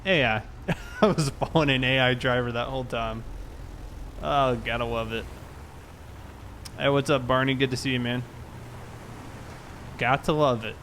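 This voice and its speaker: A man's voice: medium pitch, fairly even intonation, moderate pace, long pauses, a bit bright. Easygoing male game streamer, noisy background. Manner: easygoing, chatting to viewers. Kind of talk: casual live stream commentary while playing. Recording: clear background game vehicle noise. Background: game vehicle and ambience sounds.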